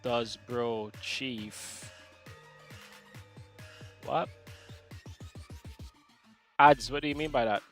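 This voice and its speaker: A man's voice: mid-range voice, drawn-out words, long pauses, a bit bright, soft background music. A man from Jamaica hosting a live trading stream, chatting casually and joking with viewers in the chat. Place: home setup, UK.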